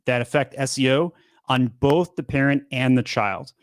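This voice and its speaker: Energetic male podcast host, dry sound. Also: medium pitch, no echo. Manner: upbeat, quick, repeats words as he talks. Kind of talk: casual live Q&A. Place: home studio with bookshelves. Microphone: studio mic on boom arm.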